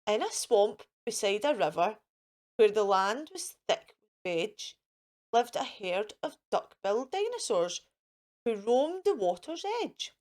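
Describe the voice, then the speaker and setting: A woman's voice: mid-range voice, very animated, a little slow, a little mellow. A woman hosting a children's storytime, reading a picture book in a sing-song way for young listeners. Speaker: children's storytime host and reader.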